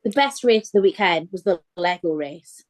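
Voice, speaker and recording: A woman co-hosting a casual podcast chat, bubbly and quick to laugh. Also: on the low side, animated. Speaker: podcast co-host.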